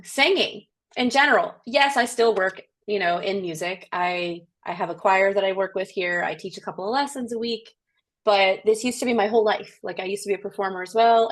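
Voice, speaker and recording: Chatty woman on a live call. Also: lowish voice. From Ireland. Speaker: singer and co-host. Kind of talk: casual live-stream chat.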